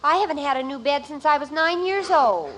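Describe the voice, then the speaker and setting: A woman's voice: high voice, animated, brisk, fairly bright tone. An American woman playing a dramatic, indignant teenage daughter in a 1950s radio sitcom.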